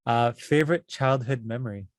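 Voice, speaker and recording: Relaxed woman host, quick questions, dry close sound. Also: extremely low pitch, dry recording. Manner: relaxed, asks quick-fire questions, laughs along. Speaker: podcast host. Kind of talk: casual interview chat.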